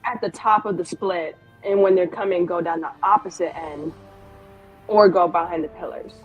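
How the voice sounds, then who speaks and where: A woman's voice: on the low side, steady tone, moderate pace, phone-quality sound, over loud music. A woman playing a tabletop game, improvising in character in a coaching, scheming manner.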